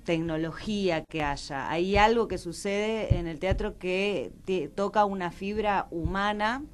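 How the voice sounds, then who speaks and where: A woman's voice: mid-range voice, measured pace. A woman with an Argentine accent chatting casually as an interview guest, explaining her views and checking for agreement. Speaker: theatre director, interview guest.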